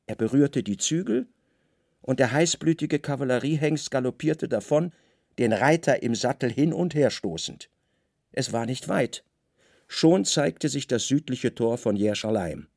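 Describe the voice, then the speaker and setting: A man narrating a literary radio play in measured, expressive, weighted phrases. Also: medium pitch, vocal fry, no echo, studio quality. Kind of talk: literary scripted narration, dramatic audio drama delivery.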